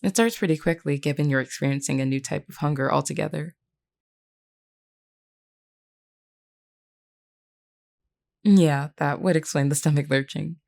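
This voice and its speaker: A woman performs a vampire queen in an intimate audio roleplay. Her voice sits low for a woman and has a clear creak to it. She talks to one listener in measured, formal words, gentle and candid. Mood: matter-of-fact, sympathetic. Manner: measured, reassuring, formally worded.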